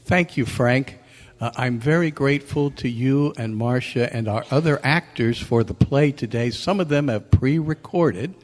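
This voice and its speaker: A man, a playwright, introducing his work to a live audience in a halting, earnest way, in a reverberant meeting room. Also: medium pitch. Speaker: playwright and director. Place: large meeting room at a convention. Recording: echoey hall, long reverb.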